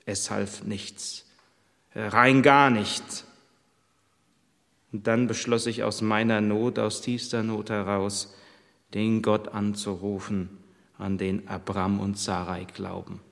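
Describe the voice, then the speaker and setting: A pregnant young servant woman on the run pours out her hardship in the first person, voiced by a man in his own plain voice. She speaks slowly and earnestly. The words ring out with a long echo, as if spoken in a large church. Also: fairly low pitch, level tone, creaky, a bit bright, voice pushed down, exaggerated voice. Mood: despairing. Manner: heartfelt first-person storytelling.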